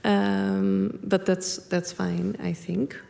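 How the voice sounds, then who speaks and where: A young woman from the Netherlands speaks in a creaky, slightly gravelly voice. She talks hesitantly and thoughtfully in an on-stage conversation, leaning on fillers as she goes. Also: mid-range voice, flat delivery, extremely slow, dark tone. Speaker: panel guest.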